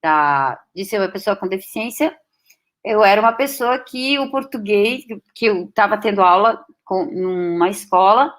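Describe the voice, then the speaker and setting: A woman in her late fifties with German as her first language, giving a reflective personal talk to an audience, pausing often and restarting her sentences. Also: medium pitch, lively intonation, fairly bright tone. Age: 50s. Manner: slow, reflective, with many pauses and restarts. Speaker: guest speaker.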